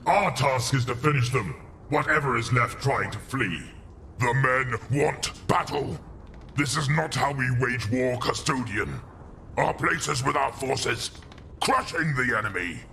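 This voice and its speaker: A man acts out a growling warrior captain, agitated and hungry for battle though his body is failing. He speaks with raised force in a sci-fi audio drama, over a clearly noisy backdrop. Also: medium pitch, expressive, unhurried, pushed and bright, voice pushed up, exaggerated voice. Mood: agitated, defiant. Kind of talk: dramatic audio story acting.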